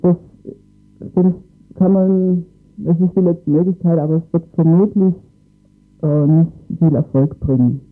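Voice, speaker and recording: A man's voice: on the high side, fairly even intonation, measured pace, long pauses, phone-quality sound, faint music behind. A man explaining matters in a radio interview, hesitantly searching for his words. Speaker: interviewed expert on asylum cases.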